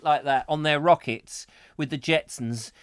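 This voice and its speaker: A man's voice: a little high, a little slow, clear, resonant tone. A man hosting a live comic chat show from a small studio, rambling jokily from one silly aside to the next. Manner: jokey, digressive, lots of fillers and silly asides. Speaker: host.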